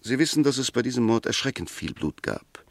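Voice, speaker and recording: An actor in a 1960s German radio play, playing a measured, incisive male defence lawyer who argues formally and methodically. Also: medium pitch, big pitch swings, dry recording. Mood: probing, insistent. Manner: articulate, methodical, rhetorical, formal.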